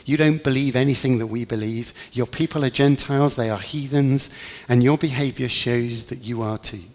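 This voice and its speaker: A man's voice: mid-range voice, unhurried, creaky. A man playing a scornful, dismissive disciple who scolds an outsider in a dramatic story monologue. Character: scornful male disciple gruffly turning an outsider away. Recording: reverberant large hall.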